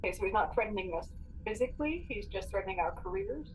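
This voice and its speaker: A woman's voice: medium pitch, expressive, fast talker, slight background noise, low-quality recording. A woman playing a tabletop role-playing game, casually talking through her decisions.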